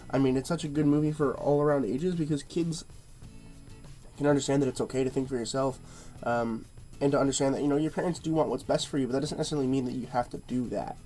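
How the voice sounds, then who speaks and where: A man's voice: mid-range voice, mellow. Stuffy-nosed man rambling through a review. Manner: rambling, filler-heavy, repeats words. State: stuffy nose, nasal-sounding. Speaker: movie reviewer. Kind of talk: casual review monologue.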